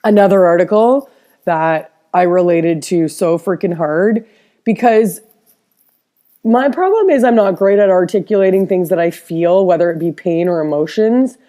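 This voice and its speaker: A woman's voice: lowish voice, expressive, warm tone. A woman with a stuffed-up nose talking in a casual personal vlog, rambling with frequent restarts. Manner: rambling, halting, many restarts. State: stuffed-up nose, slight cough. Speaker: interior designer vlogger.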